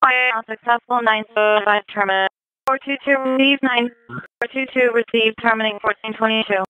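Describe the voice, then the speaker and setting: A woman's voice: a little high, steady tone, fairly quick, music in the background. A woman working as a police radio dispatcher, repeating reports in clipped, procedural phrases over a scanner radio. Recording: noisy police scanner radio audio with clicks.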